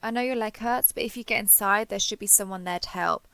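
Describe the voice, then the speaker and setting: A woman's voice: mid-range voice, fast, gravelly, sharp tone, smooth, no echo. A woman playing a friendly, chatty giant transforming robot soldier in an audio roleplay, joking and reassuring as she talks to the listener. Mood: urgent, resolute.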